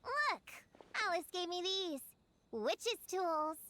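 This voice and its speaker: A woman's voice: extremely high pitch, animated, slow, sharp tone, slight background noise, heavily acted. A woman voicing an eager, stubborn young girl investigator in a video game, earnest and a bit self-important. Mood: insistent.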